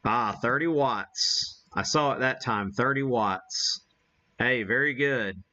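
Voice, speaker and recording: An American man hosts a casual live demo with an unhurried, folksy delivery. He thinks aloud as he works, in an average-pitched voice. The sound is slightly muffled and lo-fi. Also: sharp tone. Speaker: host demonstrating amateur radio live. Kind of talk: casual live-stream chat while operating.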